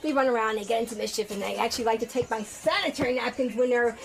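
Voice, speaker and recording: In a faint bed of noise, a high-pitched middle-aged woman blurts out her answers loud and brash, swearing freely. She sounds nervous and keyed up, rushing from one thought to the next. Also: creaky. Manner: brash, profane, excitable, talks loud. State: nervous, sweating. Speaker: radio show guest, web pet show host. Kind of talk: casual on-air chat.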